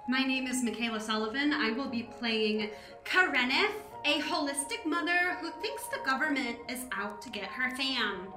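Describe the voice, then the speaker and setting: A woman's voice: high-pitched, animated, moderate pace, reverberant, over music. A woman improv actor casually introducing the part she plays.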